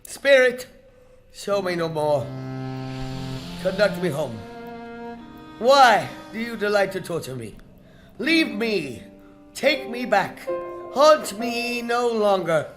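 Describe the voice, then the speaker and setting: A man's voice: high voice, big pitch swings, slow, long pauses, music in the background, heavily acted. A man playing a frightened old miser in a radio drama, pleading as he is haunted. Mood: anguished pleading.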